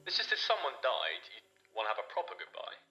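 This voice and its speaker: A man's voice: medium pitch, wildly swooping pitch, fast, pushed and bright, roomy sound, highs cut off, slight background noise. A man playing an earnest, sentimental young man in a naturalistic radio play, colloquial and insistent.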